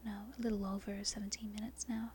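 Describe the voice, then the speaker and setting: A woman from Australia with a softened Australian accent, whispering an unscripted ASMR ramble in a halting, breathy way, often correcting herself. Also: mid-range voice, level tone, a little hiss or hum. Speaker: amateur ASMR video creator. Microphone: non-binaural microphone.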